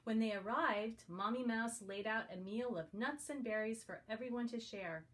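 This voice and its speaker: A woman's voice: fairly high pitch, moderate pace. A woman reading a picture book aloud to young children as a guest reader. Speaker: guest story reader for preschoolers.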